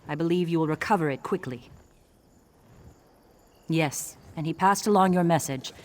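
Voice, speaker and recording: Actress as low-voiced, composed game adviser woman. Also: a little hiss or hum, lightly acted. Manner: formal, measured explaining. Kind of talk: video game dialogue acting. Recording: game music clearly behind voice.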